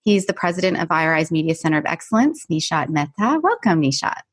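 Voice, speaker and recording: A woman's voice: sits low, very animated, fast, dry recording. Dry, close-sounding woman podcast host. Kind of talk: podcast interview hosting, conversational.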